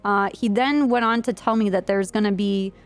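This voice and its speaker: A woman's voice: mid-range voice, steady tone, normal speed, clean tone. A woman leading an improvised roleplay briefing in an online game, talking casually as she explains things to a group. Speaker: streamer playing a police trooper in online roleplay, leading a meeting.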